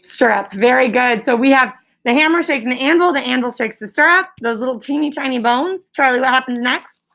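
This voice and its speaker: High-voiced, creaky female schoolteacher. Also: fairly quick, a bit bright. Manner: encouraging, simple explanatory phrasing with leading questions. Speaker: schoolteacher leading a class. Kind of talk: interactive classroom lesson, questioning pupils.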